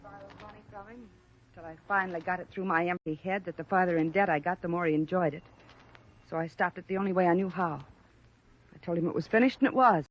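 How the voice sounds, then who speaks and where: A woman's voice: on the low side, fast, slight background noise, natural voice. A woman acting a proud, cornered young former chorus girl in a 1950s radio drama, earnest and plaintive with an American accent. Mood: resigned. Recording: dull restored old radio recording.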